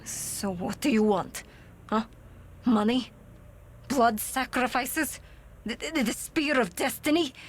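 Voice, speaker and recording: Blunt, demanding arms dealer. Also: female voice, on the high side, slow, pauses often. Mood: demanding, irritated. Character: tough, indignant female arms dealer. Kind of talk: video game dialogue.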